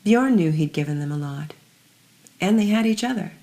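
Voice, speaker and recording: A woman narrating scripted fiction in a measured, dramatic storytelling manner, letting some phrases fall hushed. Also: on the low side, animated.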